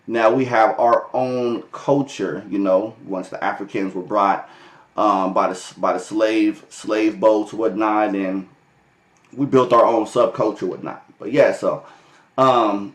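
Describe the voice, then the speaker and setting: An American man talking casually to his vlog viewers, with loose chatty delivery, restarts and frequent chuckles. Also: on the low side. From United States. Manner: loose and conversational, many fillers and restarts, laughs often. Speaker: vlogger. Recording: echoey room.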